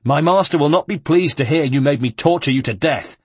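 A man voicing a brutal guard captain who taunts and threatens loudly. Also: fairly high pitch, fairly quick, slightly rough, no room sound, voice pushed up. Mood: menacing. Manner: loud and taunting. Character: brutal male guard captain, sneering bully.

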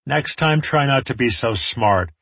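A man voicing a wry, unflappable woman in an audiobook, with a deadpan manner. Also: mid-range voice, a little slow, gravelly, slightly rough, no echo, voice pushed up, full character voice. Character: dry, sardonic woman, unflappable niece of the hero.

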